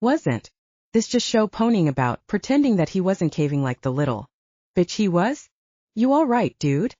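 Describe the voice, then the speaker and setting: Woman reading an audiobook, expressive, lively pitch, dry muffled recording. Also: moderate pace, no room sound. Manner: expressive reading, voicing the characters. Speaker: audiobook narrator. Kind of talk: audiobook narration.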